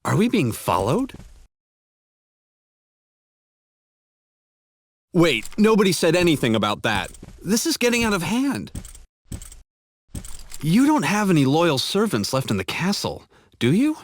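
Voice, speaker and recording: A man's voice: a little high, huge pitch swings, moderate pace. An actor playing a wry, skeptical young male adventurer in a video game, casual and sardonic. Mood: exasperated. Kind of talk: English video game dub acting.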